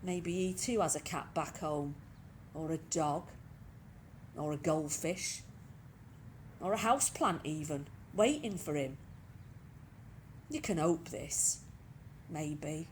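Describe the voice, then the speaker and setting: Some ambient noise sits behind a woman reading fiction aloud. She speaks slowly and conversationally, as if straight to the listener, her pitch rising and falling expressively. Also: lively intonation. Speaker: reader of short stories. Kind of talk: expressive scripted story reading. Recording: clearly noisy with background ambience.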